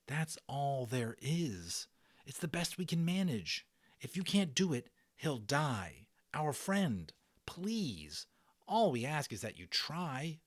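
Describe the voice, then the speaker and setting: A man's voice: medium pitch, sing-song, takes it slowly, no room sound, studio quality, pitched above the performer's own voice. A man voicing an earnest young boy who pleads desperately, in a children's storybook. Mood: pleading.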